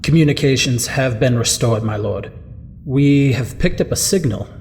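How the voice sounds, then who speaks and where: A deferential villain's henchman, played by a man, mid-pitched and dutiful. A faint rumble sits under his audio drama report. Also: normal speed, a little mellow, close to an everyday voice.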